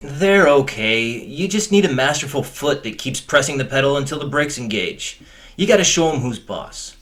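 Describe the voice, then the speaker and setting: A man's voice: medium pitch, expressive, moderate pace, a bit bright, close to an everyday voice. A man playing an easygoing, cocky and stubborn boyfriend in an audio drama, casual and jokey.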